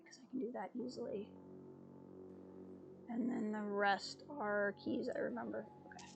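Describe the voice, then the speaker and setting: A woman streaming a video game, chatty and a little sniffly, thinking out loud with fillers as she plays. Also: mid-range voice, vocal fry. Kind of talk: casual gameplay commentary. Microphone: desk mic with webcam.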